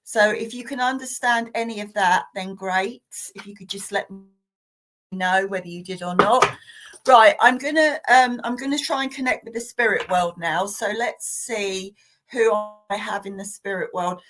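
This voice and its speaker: Soft-spoken woman giving psychic readings. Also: medium pitch, normal speed, a little hiss or hum, low-quality recording. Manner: hesitant and repetitive, many fillers and restarts. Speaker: medium giving live readings. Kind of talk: live-stream psychic reading.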